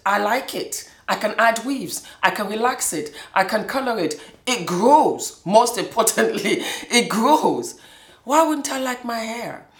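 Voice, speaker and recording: A woman who grew up in Scotland, hosting a show and talking through her own experiences in an animated way, often breaking into laughter. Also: mid-range voice, echoey room. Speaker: host. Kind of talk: casual personal monologue.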